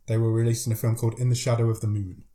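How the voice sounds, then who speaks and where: A man's voice: mid-range voice, flat delivery, very fast, warm tone. A man with a cold co-hosting a podcast, chatting casually and laughing often as he runs through news. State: has a cold. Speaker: podcast co-host. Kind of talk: casual chat.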